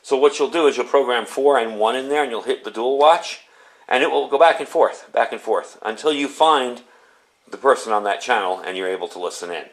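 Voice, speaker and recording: A man's voice: medium pitch, brisk. A man giving a casual, unscripted product review in a chatty, conversational way.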